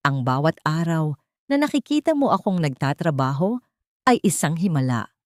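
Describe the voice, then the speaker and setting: A woman's voice: sits low, very animated, normal speed, no room sound, studio quality. A woman voicing a sickly, bitter and determined man in a romantic story drama.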